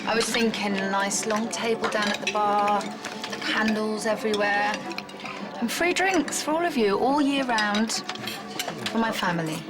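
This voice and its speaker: A woman's voice: medium pitch, measured pace, loud music behind the voice, close to an everyday voice, rough recording. An actress playing a showy, boastful woman at a family Christmas dinner. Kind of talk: TV soap acting.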